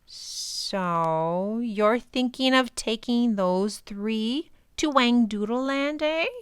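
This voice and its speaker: Storybook creature, male, gaunt and ill-tempered, played by a woman: raspy, drawn-out, scornful, pitched up from the reader's usual. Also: clean studio sound. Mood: sly. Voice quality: raspy, stretched, echoing whisper. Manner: rude, indignant, scornful. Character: tall thin sinister creature, rude, bad-tempered, grumbling male.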